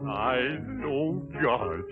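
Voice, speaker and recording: A man's voice: medium pitch, very animated, very slow. A man playing a shy, bashful dwarf in 1930s radio style. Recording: tinny 1930s radio broadcast, loud music.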